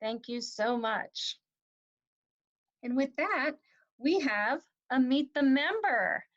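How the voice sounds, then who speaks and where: A woman runs the announcements of a virtual club meeting in a chatty way. Her voice sits a little high, and the call audio is dull and muffled. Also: animated, slow pace. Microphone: video call.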